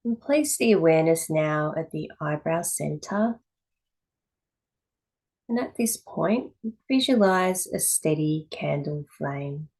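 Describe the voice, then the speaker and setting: Low-pitched woman guiding a yoga class. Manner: calm, measured, with pauses between short phrases. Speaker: yoga teacher. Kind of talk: guided meditation instruction.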